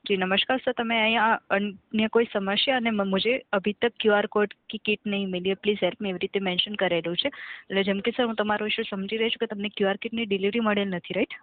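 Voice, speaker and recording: Fast-talking woman on a phone line. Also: mid-range voice, steady tone, no room sound. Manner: formal, courteous, often checks for agreement. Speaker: customer care agent. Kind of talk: scripted, polite customer service phone talk. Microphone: telephone call.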